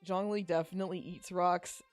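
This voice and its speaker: Wry, higher-voiced man chatting over game music. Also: fairly even intonation, a little fast, dry recording. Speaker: podcast co-host. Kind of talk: casual gameplay commentary.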